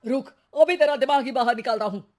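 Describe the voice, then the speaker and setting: A cartoon robber with a high voice, acted by a woman. He is a rough-talking, taunting crook who bullies his victims in a children's story. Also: a little fast, close to an everyday voice. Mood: threatening. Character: menacing, mocking male armed burglar, easily reduced to whimpering.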